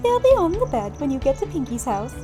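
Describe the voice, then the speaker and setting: A woman playing a brisk, caring middle-aged mother, practical and fussing, in a cartoon fan drama. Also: high voice, very animated. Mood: brisk, fond. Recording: music under the voice.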